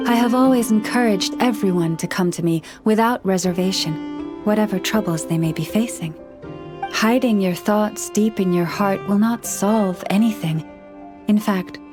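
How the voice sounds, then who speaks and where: A woman voicing a gentle, earnest young woman who counsels animals in a video game, speaking in a measured, formal way. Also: mid-range voice, close to an everyday voice. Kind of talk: video game character dialogue. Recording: studio voice over background music.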